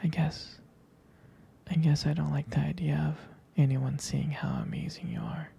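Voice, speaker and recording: A young man playing a tender, jealous, protective boyfriend in an ASMR roleplay, speaking in a hesitant, intimate, breathy whisper. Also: fairly high pitch, little pitch movement, moderate pace, warm tone, heavily acted. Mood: possessive, devoted. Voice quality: breathy, husky, gravelly whisper.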